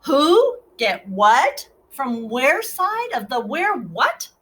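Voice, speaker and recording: A woman's voice: sits high, big pitch swings, extremely slow, fairly bright tone, exaggerated voice. A woman voicing an eager, absent-minded young male bunny with a questioning, muddled way of talking in a children's storybook. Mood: puzzled, curious.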